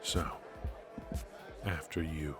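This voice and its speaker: A man playing a very deep-voiced, ruthless mafia boss. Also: fairly even intonation, music in the background, a crowd in the background, exaggerated voice. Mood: mocking, courteous menace. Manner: slow, deliberate, mock-polite menace. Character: ruthless, brilliant mafia boss, smoothly menacing and mocking. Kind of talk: noir ASMR roleplay acting.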